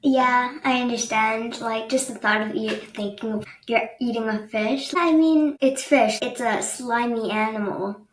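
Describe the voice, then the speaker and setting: Chatty young woman host, bright pitch. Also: measured pace, hardly any pauses. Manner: chatty, hesitant with many ums. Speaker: podcast host. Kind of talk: casual video-call interview. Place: bedroom, indoors. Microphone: video call with laptop mic. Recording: echoey room with faint noise.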